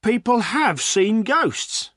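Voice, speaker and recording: A man's voice: high voice, sing-song, takes it slowly, fairly bright tone, no room sound, clean studio sound, voice pushed up, full character voice. A man reading an audiobook, voicing a village butcher who talks about ghosts with emphasis. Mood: emphatic. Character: boastful middle-aged male village butcher.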